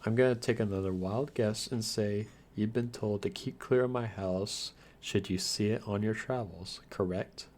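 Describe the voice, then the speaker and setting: Man playing a low-voiced, melancholy male vampire. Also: steady tone, normal speed, few pauses, darkish tone, natural voice. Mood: guarded, wry. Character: lonely undead male vampire, guarded, wry and melancholy. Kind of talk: ASMR roleplay monologue to the listener.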